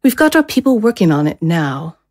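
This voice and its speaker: A woman's voice: on the low side, sing-song, fairly quick, a little mellow. A woman playing a formal, apologetic but unyielding attendant in an audio drama. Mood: apologetic, firm. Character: polite, unyielding female government attendant.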